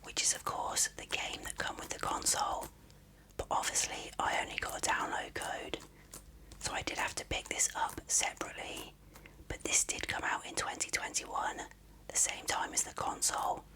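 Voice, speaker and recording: A person whispering an ASMR unboxing as the video's host, in soft, unhurried, rambling commentary. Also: low-quality recording.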